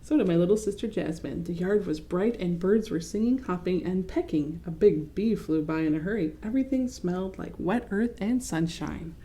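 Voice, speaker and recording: Expressive woman reading a picture book aloud. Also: fairly low pitch, normal speed, hardly any pauses. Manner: expressive, explanatory. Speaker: read-aloud host. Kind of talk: children's picture book read-aloud with explanatory asides.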